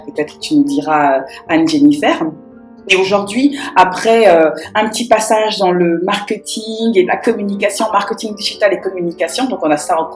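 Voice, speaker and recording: A woman co-hosting a live radio show, slightly hoarse from losing her voice, rambling and searching for her words. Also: mid-range voice, darkish tone, reverberant. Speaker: radio co-host.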